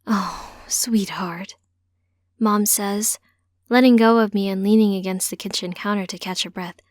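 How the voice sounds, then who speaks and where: Woman narrator reading a first person audiobook story, medium pitch, really dry close sound. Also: steady tone, moderate pace, no room sound.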